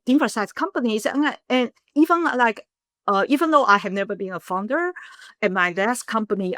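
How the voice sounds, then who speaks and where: A middle-aged woman from Taiwan, telling her story as a startup founder in a casual interview, earnest and animated in bursts. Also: mid-range voice, no echo.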